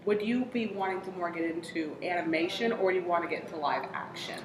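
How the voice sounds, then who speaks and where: A woman's voice: on the low side, fast. A woman asking questions as the interviewer in a casual, conversational interview. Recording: echoey room with faint noise.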